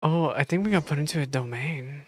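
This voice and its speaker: A woman's voice: low-pitched, brisk, over music. A woman giving casual reaction commentary as she streams a game playthrough.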